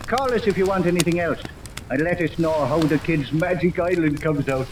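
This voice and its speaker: A man playing a gruff, mocking beat policeman who talks in a bossy, colloquial way in a 1930s radio serial. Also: fairly high pitch, brisk, natural voice. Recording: noisy, echoey, distant 1930s radio recording.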